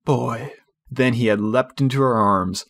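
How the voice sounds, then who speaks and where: A man's voice: medium pitch, normal speed. A man reading a classic storybook aloud as an audiobook narrator, in scripted narration.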